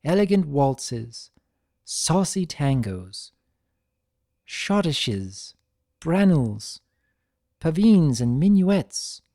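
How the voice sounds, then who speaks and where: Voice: mid-range voice, sing-song, extremely slow, pauses often, dark tone, no room sound, studio quality, pitched above the performer's own voice, heavily acted. A performer voicing an elegant, proud and guarded female unicorn pony in a cartoon fan story, with refined, theatrical and precise diction. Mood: wistful.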